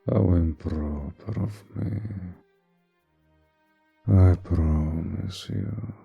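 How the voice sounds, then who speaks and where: A man voicing an imposing, charming male vampire in an intimate roleplay audio, suave, courtly and flirtatious, with a deep, gravelly, husky voice. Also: dark tone, exaggerated voice. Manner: suave, courtly, teasing, drawn-out formal phrasing.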